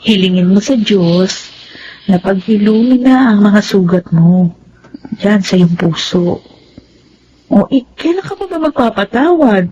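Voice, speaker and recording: An actress playing a caring, devout young woman who advises in a gently persuasive way in a Tagalog radio drama. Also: mid-range voice, lively intonation, a little slow, pauses often, lightly acted. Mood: encouraging. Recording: dull, noisy lo-fi sound.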